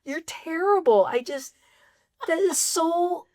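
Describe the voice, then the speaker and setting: A woman co-hosting a film podcast, ranting in exasperation about a movie's plot holes, her voice going a bit raspy. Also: very high voice, takes it slowly, no echo. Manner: exasperated, ranting, animated complaints. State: voice getting raspy. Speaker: podcast co-host. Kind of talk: casual film critique chat.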